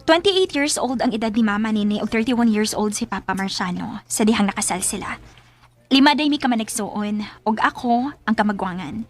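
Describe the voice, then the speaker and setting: A woman's voice: on the high side, expressive, moderate pace. A woman acting an earnest letter sender who narrates her life story in a radio drama, with a Cebuano accent. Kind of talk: first-person scripted radio drama narration. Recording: music playing behind the voice.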